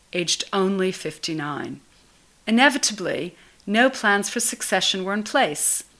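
A woman's voice: mid-range voice, fairly bright tone. A woman reading a written obituary aloud as scripted narration.

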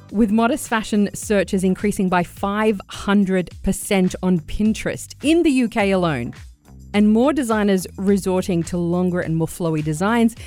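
Woman radio host, eager interviewer, some ums and uhs, soft music bed underneath. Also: lowish voice. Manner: warm, enthusiastic interviewer with fillers. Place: radio studio.

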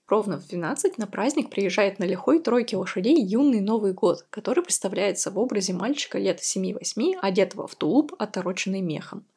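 A woman hosting a quiz podcast, talking listeners through the answers in a chatty, explanatory way. Her voice is husky and breathy. Creaky fry runs through her phrases. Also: medium pitch, a little mellow. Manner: chatty, explanatory, with light laughs. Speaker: podcast host.

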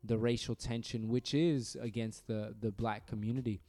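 A man from New York co-hosting a podcast, talking in a relaxed, conversational way and hedging his opinions. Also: mid-range voice, normal speed, soft background music. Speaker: podcast co-host. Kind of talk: casual podcast discussion. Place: studio room across from co-host.

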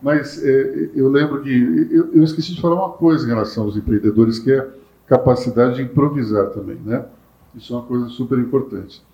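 A man's voice: medium pitch, brisk. A man hosting a panel interview, telling a joking anecdote. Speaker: host and interviewer. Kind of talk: casual interview chat. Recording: roomy, dull sound.

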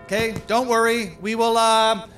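Flustered, very high-pitched party emcee. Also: male voice, level tone, fairly bright tone, soft background music. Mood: flustered, placating. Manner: halting, stammering, repetitive. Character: flustered, placating male emcee of a national countdown party. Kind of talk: satirical audio drama acting.

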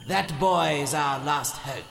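An actor playing a ghostly old Jedi mentor, solemn and cautioning, in an audio drama. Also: male voice, on the high side, takes it slowly, creaky, a bit bright, full character voice. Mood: urgent. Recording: echoing long reverb, faint noise.

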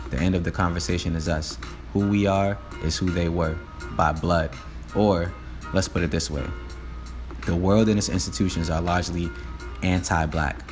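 A man giving a steady, declamatory spoken-word speech with a repeated refrain. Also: low voice. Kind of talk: recorded spoken-word speech over music. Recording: speech over loud music bed.

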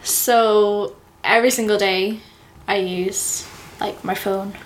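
A woman's voice: mid-range voice, fairly even intonation, takes it slowly, slight background noise, rough recording. A young woman co-hosting a podcast in giggly casual chat, full of fillers. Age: young adult. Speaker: podcast co-host.

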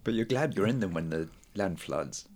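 A man co-hosting a casual film podcast, dropping deadpan one-liners. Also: low-pitched, moderate pace. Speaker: podcast co-host. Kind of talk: casual comedic chat.

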